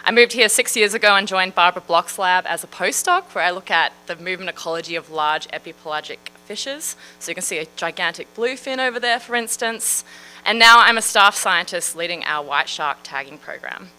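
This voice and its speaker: An Australian woman in her thirties gives a public science talk. Her voice is bright and forceful. She speaks in a friendly, chatty way and often fills pauses with "um" and "uh". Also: on the low side, lively intonation, smooth, poor audio. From Western Australia. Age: 30s. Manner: friendly, chatty, with frequent fillers. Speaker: marine scientist.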